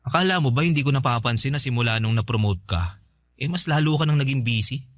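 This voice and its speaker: An actor playing a hurt, resentful young boyfriend, sarcastic and accusing, in an emotional radio drama. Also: male voice, mid-range voice, expressive, fast talker, fairly bright tone, no room sound, lightly acted. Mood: accusing, bitter. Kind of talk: Tagalog radio drama acting. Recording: music bed behind the voice.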